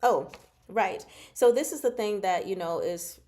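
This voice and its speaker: A woman hosting a casual book discussion, speaking from notes in an animated, giggly, self-interrupting way. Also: medium pitch, measured pace. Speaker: host of a book discussion show. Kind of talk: casual fan discussion, reading from notes and comments.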